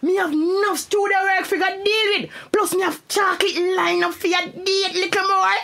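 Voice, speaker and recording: A man's voice: extremely high pitch, fairly even intonation, moderate pace, vocal fry, bright, forceful tone, full character voice. A man playing a brash, hot-headed young nephew in a radio drama, with a Jamaican accent. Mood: hot-headed, threatening.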